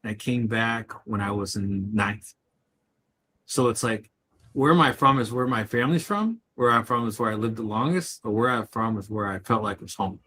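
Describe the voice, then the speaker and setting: A man's voice: lowish voice, normal speed, bright, forceful tone, a little hiss or hum. A man from Chicago co-hosting a casual live chat, telling personal stories with frequent laughs. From Chicago, USA. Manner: storytelling, chatty, laughs often. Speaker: co-host.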